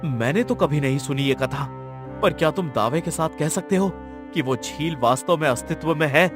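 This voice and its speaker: A man's voice: a little high, normal speed, music in the background, close to an everyday voice. A man playing a lovestruck, commanding king in a cartoon story, speaking in formal, stately diction. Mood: earnest, regal. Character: royal king, commanding, lovestruck by a dream woman. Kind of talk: Hindi cartoon story dialogue.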